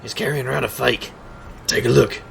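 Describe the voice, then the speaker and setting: A man's voice: mid-range voice, fairly even intonation, brisk, vocal fry, a little hiss or hum. An actor playing a cocky, wisecracking street magician and private eye in an audio drama, with a showman's wry, theatrical patter. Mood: wry, explaining. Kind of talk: scripted audio drama acting.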